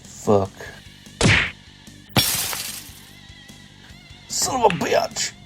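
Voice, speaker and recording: An actor playing a hot-tempered, troubled father in an audio drama, gruff and prone to swearing. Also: high-pitched, wildly swooping pitch, takes it slowly, loud music behind the voice, exaggerated voice. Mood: furious.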